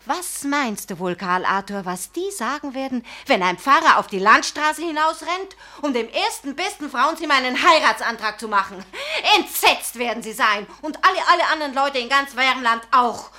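A woman's voice: high voice, animated, normal speed, few pauses, bright, forceful tone. A woman playing a proud, passionate young fiancée in a radio drama, speaking emphatically and with dramatic feeling. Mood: indignant, scornful. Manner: emphatic, dramatic, swinging from indignant to tender. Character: proud, passionate young fiancée pleading with her pastor beloved. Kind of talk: German radio drama acting.

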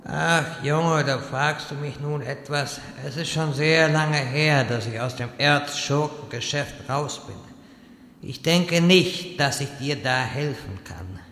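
A man performs a captive wizard in a fantasy parody radio play, solemn yet courteously deadpan. The delivery is slow and measured, with a clear creak and a forward, bright tone. The sound echoes like a large hall, with slight noise. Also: fairly high pitch. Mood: polite, chatty. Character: captive wizard, retired villain, solemn but politely chatty. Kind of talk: German audio drama parody.

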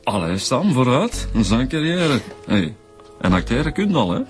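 A man's voice: medium pitch, big pitch swings, moderate pace, faint music behind, natural voice. An actor playing a teasing, informal male detective in a radio drama. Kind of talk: naturalistic radio drama acting.

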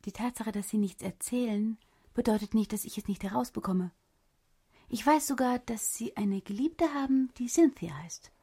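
An actress playing a brash, sharp-tongued special agent in a cyber thriller radio drama, husky and creaky, with a sarcastic, commanding manner. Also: female voice, on the high side, normal speed. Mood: threatening, commanding. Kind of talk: German radio drama acting.

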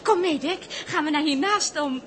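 A woman's voice: high-pitched, moderate pace, background noise, close to an everyday voice. An actress playing a spirited, quarrelsome young woman, an engineer's daughter, in a 1960s radio drama. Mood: indignant. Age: young adult.

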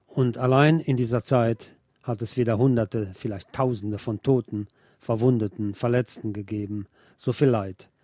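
A man presenting scripted political news commentary in a measured, formal delivery. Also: mid-range voice, mellow, dry recording, clean studio sound.

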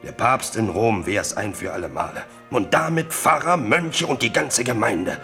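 A man's voice: medium pitch, animated, moderate pace, sharp tone, soft background music. An actor playing a frank, sly soldier courtier who needles his king loyally in a German radio drama. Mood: blunt, provoking. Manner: frank, sly, feigns indifference.

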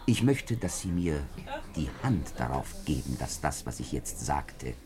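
An actor playing a self-important middle-aged French parliamentary deputy in a 1950s German radio drama, speaking in a pompous, deliberate, emphatic way. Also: male voice, very deep voice, big pitch swings, a crowd in the background, full character voice. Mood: guarded, emphatic.

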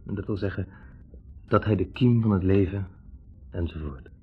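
An actor playing a wavering, introspective young medical student, hesitantly searching for words in a 1960s radio drama. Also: male voice, low voice, normal speed, husky, soft background music. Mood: hesitant, reflective. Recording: dull, band-limited 1960s radio recording.

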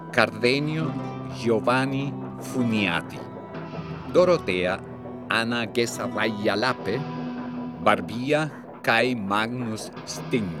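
Slow-speaking man announcing over music. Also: fairly low pitch. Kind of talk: scripted credits announcement.

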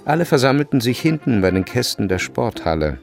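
A man reading literary narration for a radio play, with deliberate, dramatic phrasing. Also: mid-range voice, big pitch swings, vocal fry, faint music behind.

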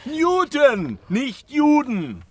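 An actor playing Goethe as an elderly, self-assured privy councillor in a German radio play, ironic, teasing and given to exclamation. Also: male voice, extremely high pitch, huge pitch swings, extremely slow, darkish tone, slight background noise, heavily acted. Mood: exasperated.

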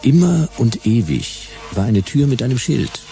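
An actor playing a wry, hard-boiled detective narrating a radio drama in a dry, sardonic manner. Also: male voice, medium pitch, expressive, unhurried, vocal fry. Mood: wry, observant. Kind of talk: scripted first-person radio drama narration. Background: music.

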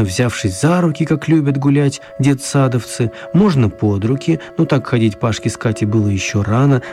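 A man's voice: mid-range voice, warm tone, natural voice. A man acting as the narrator of a children's story, in scripted storybook narration. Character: male storyteller of a children's tale.

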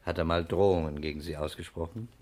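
Male actor, very low voice, calm detective, terse and businesslike, 1960s radio crime play. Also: natural voice. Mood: probing, dry. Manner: measured, terse, matter-of-fact. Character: calm, probing male investigator. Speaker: investigator. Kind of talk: 1960s German radio crime drama acting.

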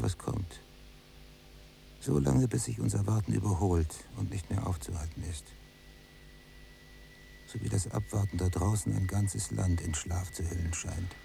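An actor playing a brooding young prisoner in a radio drama, speaking in hushed, measured, near-whispered lines. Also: male voice, creaky. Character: young male prisoner, brooding, watchful cellmate. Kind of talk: literary radio drama, hushed dialogue and monologue. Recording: noisy recording with low hum.

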